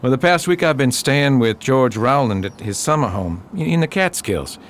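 Man playing dry skeptical investigator, radio drama, medium pitch, light background noise. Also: expressive, normal speed, natural voice. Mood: friendly, matter-of-fact. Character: plain-spoken, skeptical investigator, a loyal friend. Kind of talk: radio mystery drama acting.

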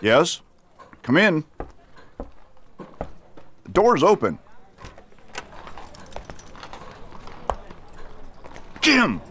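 An actor playing a steady, caring US marshal in a western radio drama. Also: male voice, a little high, extremely slow, husky, exaggerated voice. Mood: alarmed.

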